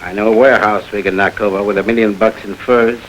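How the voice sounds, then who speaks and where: An actor playing a tough, slangy gang thug who talks back sarcastically, in a 1930s crime film. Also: male voice, lowish voice, fairly even intonation, quick pace, fairly bright tone, slight background noise, lightly acted, rough recording.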